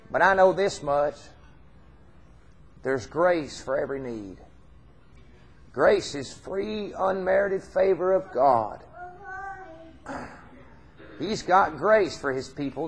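A man's voice: a little high, slight background noise. A middle-aged man with a Southern US accent preaching an informal, folksy, earnest sermon, repeating phrases for emphasis. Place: church hall stage, indoors.

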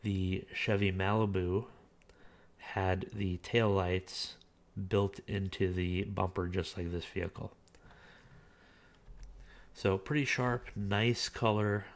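A man's voice: deep voice, slow pace, long pauses. A man casually talking through a car auction listing, reading parts aloud with frequent filler words. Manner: relaxed, reads listing aloud with filler uhs. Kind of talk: casual screen-recorded commentary.

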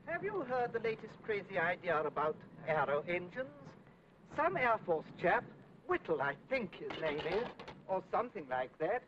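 An actor playing a gossiping, sceptical British golfer in a 1950s dramatised documentary. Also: male voice, sits high, big pitch swings, normal speed, a little hiss or hum. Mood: scornful. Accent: British English. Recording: dull old film soundtrack.

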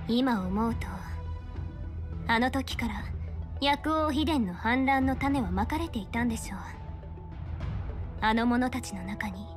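An actress playing a stern young fortune-teller official in a game, speaking in a formal, measured, authoritative way. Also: female voice, on the high side. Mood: grave, disdainful. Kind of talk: scripted game character dialogue in Japanese dub.

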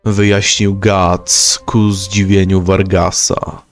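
A man's voice: low voice, little pitch movement, slow. A man giving dramatic scripted narration of a manga story.

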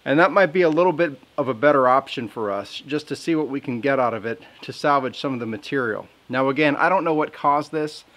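A man talks outdoors beside his sawmill. His delivery is plainspoken and folksy as he explains his work to the camera at an ordinary, middle pitch. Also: brisk, hardly any pauses, fairly bright tone. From Southern Indiana. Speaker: sawmill owner.

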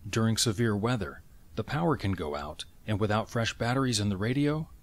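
A man narrating scripted instructions in clear, step-by-step phrasing. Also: lowish voice, animated, brisk.

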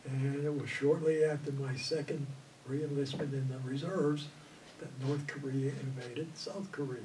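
Older male veteran interviewee, rambling storyteller, mic set back with steady background noise. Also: mid-range voice, unhurried. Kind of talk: oral history recollection. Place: indoor studio before green backdrop. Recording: distant mic, audible room noise.

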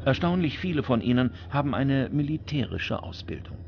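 A man's voice: medium pitch, sing-song, normal speed, creaky, background noise. A man reading scripted documentary narration as the narrator. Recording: dull recording with music bed. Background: background music.

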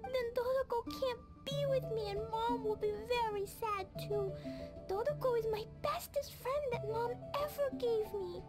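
A woman voicing a sweet, innocent, excitable little elf girl with a childlike manner in an anime game. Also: very high voice, normal speed, over music. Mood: worried, timid. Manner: childlike, bubbly. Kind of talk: scripted anime game dialogue.

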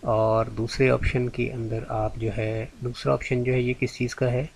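A man's voice: mid-range voice, flat delivery, moderate pace, gravelly, slight background noise. A man giving a patient, step-by-step screen-recorded tutorial as a channel host. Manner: patient, step-by-step, repeats "theek hai ji".

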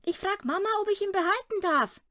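A woman voicing an eager, stubborn little boy in a storybook, emphatic and excitable. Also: very high voice, brisk, pitched above the performer's own voice. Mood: eager, excited.

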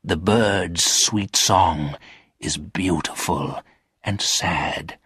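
A man voicing a lonely, sorrowful old ghost in a storybook, weary and solemn, with a husky voice. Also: low voice, a bit bright, dry recording, studio quality, pitched below the performer's own voice, heavily acted. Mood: wistful, hushed. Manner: slow, weary, solemn delivery.

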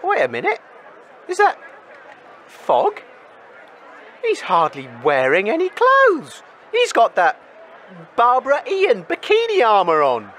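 An actor playing an apologetic roving reporter in a comic audio drama, hesitant and embarrassed. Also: male voice, very high-pitched, huge pitch swings, takes it slowly, long pauses, a bit bright, a faint crowd, a little hiss or hum, full character voice.

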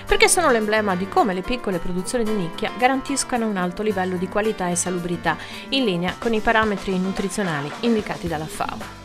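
A woman reading a scripted news voice-over in a brisk, even manner. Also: medium pitch. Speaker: news reporter. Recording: voice-over with music behind.

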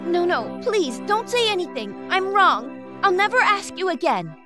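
A woman's voice: very high-pitched, very animated, unhurried, heavily acted. A woman voicing an earnest young princess in a fairy tale cartoon, anxious and melodramatic. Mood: desperate, regretful. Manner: melodramatic, pleading. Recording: music behind the voice. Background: music.

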